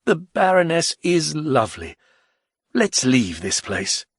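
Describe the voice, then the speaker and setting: The storyteller lends his voice to a composed young nobleman who answers in a lifted, even tone that is a little husky and gravelly. It is recorded close and dry. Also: on the high side, slow, no room sound, pitched above the performer's own voice, exaggerated voice. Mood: calm. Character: calm, young male nobleman companion.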